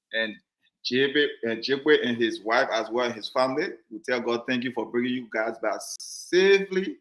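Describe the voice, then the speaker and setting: A man's voice: medium pitch, fairly bright tone. Chatty male talk show host. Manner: joking, chatty, repeats words. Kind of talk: casual community talk show chat. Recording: roomy echoey sound.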